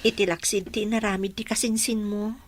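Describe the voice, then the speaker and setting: A woman's voice: medium pitch, flat delivery, fairly quick, natural voice. An actress with a Filipino accent playing an earnest, devoted young single mother in a radio drama. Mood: grateful.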